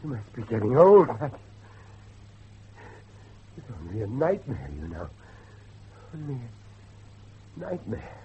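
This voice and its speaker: An actor playing an older, devout, haunted Victorian father in a theatrical horror drama, speaking haltingly. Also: mid-range voice, very animated, mellow, muffled recording, slight background noise. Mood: shaken, hushed. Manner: theatrical, halting, repeats himself. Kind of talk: dramatic horror audio acting.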